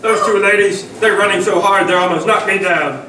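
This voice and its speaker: A man voicing a cautious, nervous young boy puppet in a church puppet show, talking in a jumpy, expressive way. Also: high-pitched, normal speed, crowd noise, close to an everyday voice. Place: large echoey church sanctuary. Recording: far-off echoey church recording with an audience.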